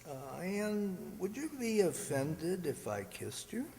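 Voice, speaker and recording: A man playing a bashful, courting young country man in a radio drama, earnest and halting. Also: high voice, big pitch swings, creaky, mellow, heavily acted. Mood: tender, bashful. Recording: hall-like long reverb.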